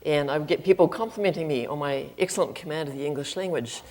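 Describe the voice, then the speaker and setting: A woman's voice: low voice, quick pace, darkish tone. A middle-aged woman with a New Zealand accent giving a chatty, self-deprecating lecture to an audience, with frequent ums. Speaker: guest speaker, flute professor. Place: campus auditorium.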